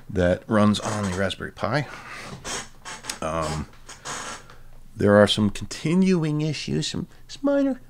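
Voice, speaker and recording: A man giving a casual, halting tech demo of a hobby project, dryly informal and self-deprecating, with a gravelly voice. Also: low-pitched. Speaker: presenter of a hobby electronics project.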